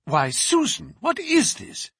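A man's voice: extremely high pitch, wildly swooping pitch, takes it slowly, creaky, fairly bright tone, breathy edge, no room sound, studio quality, pitched above the performer's own voice, full character voice. A man voicing a genteel lady of the house in an audiobook, earnest, proper and easily shocked.

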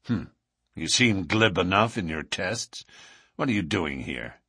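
A big, menacing lodge boss from an audiobook, acted out by a man. He is blunt and threatening, with a husky, low-set voice that moves slowly and swings widely in pitch. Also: animated, pushed and bright, no room sound, studio quality, heavily acted. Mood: probing. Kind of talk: audiobook character dialogue.

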